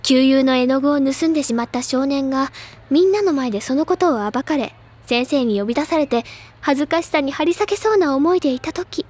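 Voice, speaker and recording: A woman playing an excitable, self-assured high-school literature-club girl in an audio drama, speaking in a dramatic, lecturing way. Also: a little fast, lightly acted. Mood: smug, lecturing. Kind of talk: anime-style audio drama acting.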